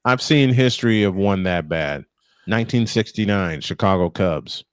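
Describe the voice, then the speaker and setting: A man's voice: low voice, expressive, normal speed, smooth, no echo. Dry-miked man calling baseball play-by-play. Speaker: play-by-play commentator and host. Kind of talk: baseball commentary mixed with casual banter.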